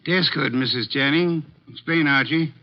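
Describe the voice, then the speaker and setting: Male actor as pompous armchair sleuth, commanding and exact, higher register, muffled 1950s radio sound. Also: normal speed, close to an everyday voice. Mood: measured, commanding. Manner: formal, commanding, precise diction. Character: imperious, pedantic, short-winded armchair detective. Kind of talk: 1950s radio detective drama acting. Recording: dull vintage 1950s radio recording.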